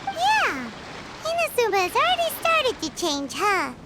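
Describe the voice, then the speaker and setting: A woman voicing a tiny, childlike, excitable fairy girl companion in a video game. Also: measured pace, heavy background noise, full character voice. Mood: cheerful. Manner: squeaky, exclamatory, talks in third person. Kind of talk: scripted video game dialogue. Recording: loud music under the voice.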